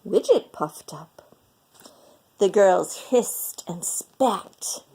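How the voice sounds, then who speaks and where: A woman reads a children's story aloud with a lively, swooping melody. Her voice is mellow and she takes her time, leaning into the phrases with dramatic, deliberate delivery. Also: medium pitch, a little hiss or hum, low-quality recording. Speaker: storyteller reading a picture book aloud. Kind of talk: expressive children's read-aloud.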